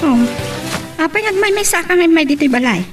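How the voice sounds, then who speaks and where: A woman's voice: extremely high pitch, expressive, a little fast, loud music behind the voice. A woman acting a protective, plain-spoken older sister in an Ilocano audio drama, with a Filipino Ilocano accent. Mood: questioning.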